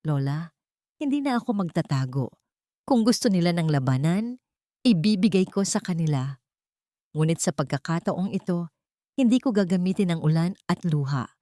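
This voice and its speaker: A woman's voice: on the low side, animated, normal speed, pauses often, warm tone, no echo, studio quality, close to an everyday voice. A woman with a Filipino accent voicing a wronged, determined provincial mother with an intense, resolute manner in an audiobook. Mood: resolute, vengeful.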